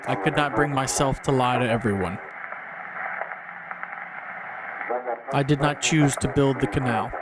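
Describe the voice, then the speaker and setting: A man's voice: medium pitch, very noisy, close to an everyday voice. A man playing a solemn nineteenth-century diplomat and canal developer, speaking formally and grandly in an analog horror monologue. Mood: solemn, confessional.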